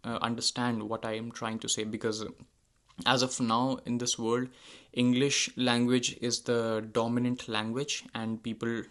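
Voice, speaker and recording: A man's voice: mid-range voice, little pitch movement, unhurried, fairly bright tone. A young man whose first language is Hindi, talking casually to his channel's viewers, with frequent fillers and self-corrections. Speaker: channel host. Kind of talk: casual unscripted monologue.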